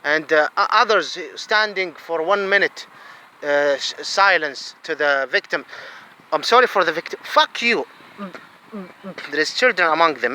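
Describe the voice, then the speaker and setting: A man from Saudi Arabia with a Saudi Arabian accent, delivering an agitated ranting monologue that swings between low muttering and loud outbursts. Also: poor audio.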